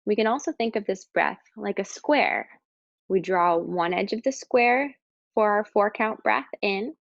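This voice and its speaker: A woman leading a guided meditation, speaking calmly and slowly with pauses as she gives instructions. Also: mid-range voice, creaky, no room sound, lo-fi sound. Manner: calm, slow, instructing with pauses.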